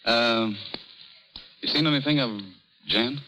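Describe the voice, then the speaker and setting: An actor playing an easygoing, generous young husband in a 1930s radio drama, laconic and casual. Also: male voice, medium pitch, takes it slowly, pushed and bright, low-quality recording. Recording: vintage radio recording, faint music.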